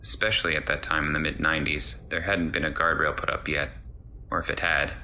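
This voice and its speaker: A man's voice: very deep voice, little pitch movement, brisk, sharp tone, a little hiss or hum. A man narrating a first-person scary story in a conversational, descriptive way. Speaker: narrator reading a listener's true story.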